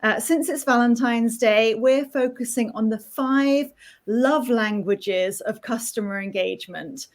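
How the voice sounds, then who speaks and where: A woman's voice: fairly high pitch, a little slow. A woman from the UK, co-hosting an interview in a friendly way, hesitating with fillers. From United Kingdom. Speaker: co-host.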